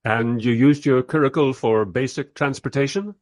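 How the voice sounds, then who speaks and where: An actor playing a polite, squeamish documentary interviewer who narrates in a hesitant, wry manner in a radio play. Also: male voice, mid-range voice, moderate pace, darkish tone, no echo, close to an everyday voice. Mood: polite, flustered.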